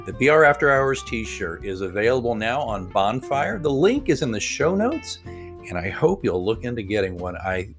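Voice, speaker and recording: A man's voice: mid-range voice, big pitch swings, moderate pace, soft background music. A man hosting a podcast, chatty and joking, talking to his listeners in folksy asides. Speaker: podcast host. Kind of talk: podcast interview.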